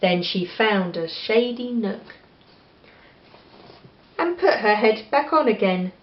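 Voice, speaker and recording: A woman with an English accent reading a children's story aloud in an expressive style. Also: medium pitch, takes it slowly. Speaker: storybook reader. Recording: echoey room, slightly dull sound.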